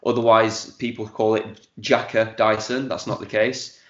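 A man's voice: fairly low pitch, little pitch movement, measured pace, reverberant, lo-fi sound. A man, chatting as a podcast guest and often repeating words as he thinks. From northern England. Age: 40s. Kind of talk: casual interview chat.

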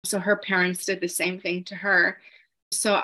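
Woman guest, earnest testimony, narrow pitch range, halting with fillers. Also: little pitch movement, pushed and bright. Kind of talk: personal testimony in conversation.

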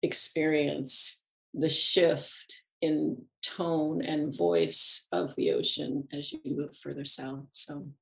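A middle-aged woman with a low, gravelly voice speaks as a podcast guest. She talks in a thoughtful, unhurried way and laughs softly between thoughts. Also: poor audio. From United States. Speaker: podcast guest, animist and ritualist. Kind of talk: reflective conversational interview.

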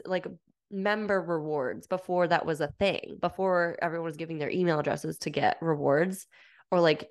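A woman in her thirties hosting a casual solo podcast, stuffed up from a cold, chatty and upbeat with lots of fillers. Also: lowish voice. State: congested from a cold. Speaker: podcast host.

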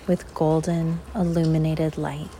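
A woman leading a guided visualization in an unhurried, measured way, leaving long pauses between short phrases. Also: low-pitched, little pitch movement, mellow, a little hiss or hum. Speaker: guided meditation host.

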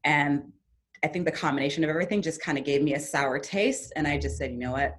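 A woman guest on a podcast, telling a personal story in an earnest, reflective way that turns lively when she quotes her younger self. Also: low-pitched, quick pace.

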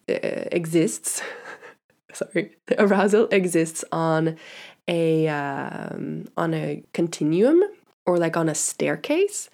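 Woman podcast host, stuffy nasal voice, frank and chatty, lowish pitch, close mic. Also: vocal fry. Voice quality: nasal from congestion. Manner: warm-hearted, frank and chatty, with fillers and laughs. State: congested nose. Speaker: podcast host and sexuality coach. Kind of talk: solo podcast monologue.

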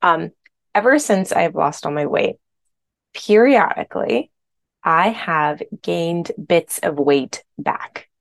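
A woman hosting her own podcast as a weight loss coach, a little hoarse from a sore throat, talking in a chatty, candid way. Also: lowish voice, expressive, slow pace. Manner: chatty, candid, uses filler words. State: hoarse, recovering from strep throat. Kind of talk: casual podcast monologue.

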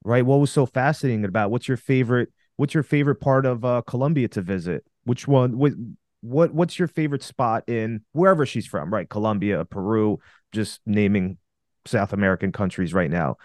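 A man's voice: on the low side, fairly quick, a little mellow, dry recording, clean studio sound. A man co-hosting a podcast, coaching male listeners on dating with emphatic, direct advice. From Russia. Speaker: podcast co-host.

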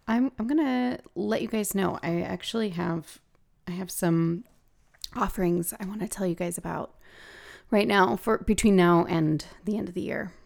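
A woman with a gravelly voice hosting a podcast, talking casually and haltingly as she thinks aloud. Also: lowish voice, darkish tone. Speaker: podcast host and hypnosis practitioner. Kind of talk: casual solo podcast talk.

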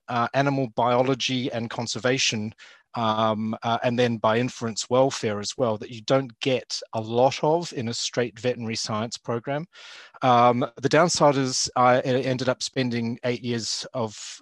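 A man with a New Zealand accent, a zoo vet talking in a relaxed interview, with many ums and uhs. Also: medium pitch, no room sound. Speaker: guest, zoo veterinarian and lecturer. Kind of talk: casual interview talk.

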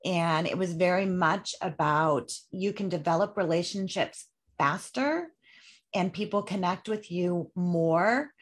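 A woman's voice: fairly low pitch, measured pace. A woman hosts a chatty interview, steering with questions and affirming her guest. Her delivery is conversational and supportive. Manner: encouraging, asks leading questions. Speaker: podcast host. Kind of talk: casual interview talk.

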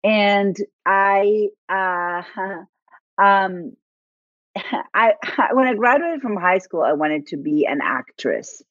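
A woman's voice: mid-range voice, animated, slow pace, dry recording, lo-fi sound. A woman in her forties from Argentina, a native Spanish speaker with a thick Argentine accent, telling her story as an interview guest with frequent laughs and restarts. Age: 40s. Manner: reflective, laughs often, many restarts.

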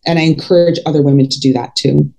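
A woman's voice: low voice, steady tone, fast talker, creaky, mellow. A woman in her fifties talking candidly in an interview, outspoken and emphatic. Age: 50s. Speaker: podcast guest, fitness coach.

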